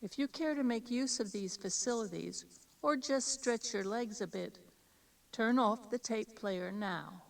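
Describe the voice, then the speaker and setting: An American woman reading scripted tour directions in a measured, formal, instructional manner. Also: fairly high pitch, gravelly. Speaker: audio tour guide giving directions. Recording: distant-sounding 1983 cassette tape.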